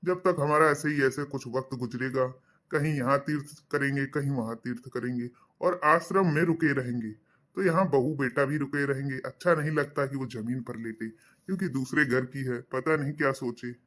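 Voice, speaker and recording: A man voicing an elderly, pious, accommodating father in a cartoon, soft-spoken and reasoning. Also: mid-range voice, normal speed, close to an everyday voice. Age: older. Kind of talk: cartoon voice acting.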